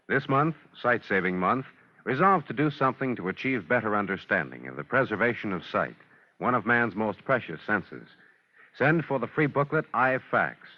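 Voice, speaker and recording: An American man announcing formally in a mid-century radio style, with measured, emphatic delivery. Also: mid-range voice. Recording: muffled vintage radio recording with music.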